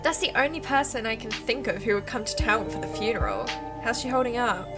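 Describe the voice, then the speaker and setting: A friendly, chatty small-town woman , read by a game streamer talks over clear background music. Her voice is a bit high, and her manner is breezy and polite, like a visual novel character meeting a newcomer. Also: animated, a little fast, natural voice. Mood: concerned.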